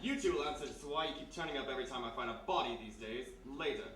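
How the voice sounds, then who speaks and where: A man's voice: medium pitch, very fast, crowd noise, lightly acted. A man playing a stern, dry army major with clipped, formal speech in a live radio mystery. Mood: commanding. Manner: clipped, formal, matter-of-fact. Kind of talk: live old-time radio mystery acting. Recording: roomy live stage, distant mic.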